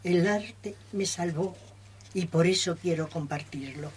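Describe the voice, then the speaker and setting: Husky, unhurried woman, acting. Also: lowish voice, darkish tone. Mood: earnest, hopeful. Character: earnest, passionate female lover of art. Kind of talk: amateur radio theatre acting.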